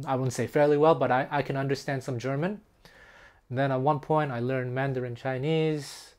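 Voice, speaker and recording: A middle-aged man who grew up speaking Taiwanese, a music teacher talking casually to camera in an earnest, reflective, slightly halting way. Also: medium pitch, steady tone, darkish tone. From French-speaking Canada. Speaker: guitar teacher. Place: small room indoors.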